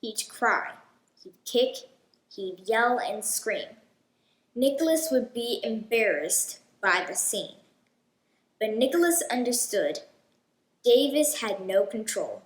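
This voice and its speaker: A woman with a fairly high voice reads a picture book for children. She takes her time, speaking very slowly and with deliberate expression. The sound is roomy, with clear echo around her voice. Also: expressive. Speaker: host of a children's read-aloud show. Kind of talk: children's storybook read-aloud.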